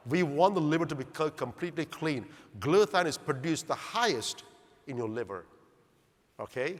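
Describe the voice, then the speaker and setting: A middle-aged man from Zambia giving an animated conference talk, often putting rhetorical questions to the audience. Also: on the high side. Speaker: speaker at a longevity conference. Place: large conference hall. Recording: long hall reverb.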